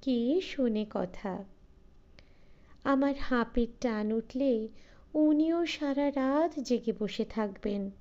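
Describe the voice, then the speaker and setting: A chatty, outspoken middle-aged wife grumbling about her husband, pitched higher and louder than the narration with a mellow tone, as a woman reader puts her on. The sound is dull and lo-fi.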